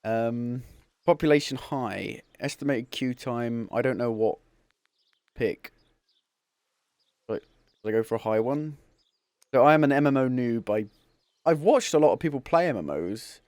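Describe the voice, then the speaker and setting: A man's voice: medium pitch, animated, unhurried, long pauses, a little mellow, no room sound. A man casually commenting as he starts playing a game on stream, rambling and chuckling at himself. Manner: rambling, chuckling, self-deprecating. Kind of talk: casual let's-play commentary.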